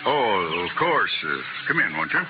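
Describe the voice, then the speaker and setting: An actor playing a flustered frontier judge in a 1950s radio western, hesitant and stammering. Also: male voice, fairly low pitch, sing-song, moderate pace. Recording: muffled, very noisy 1950s radio recording.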